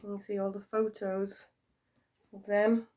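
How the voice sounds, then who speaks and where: A woman teacher reading a story to young children in an expressive, dramatic storytelling style. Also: medium pitch, a little slow, far from the mic.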